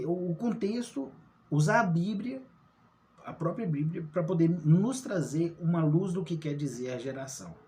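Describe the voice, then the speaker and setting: Casual online Bible teacher, male, mellow tone, keeps checking in with his audience. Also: on the high side. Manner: asks rhetorical questions, checks in with "tá?". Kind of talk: informal Bible study talk.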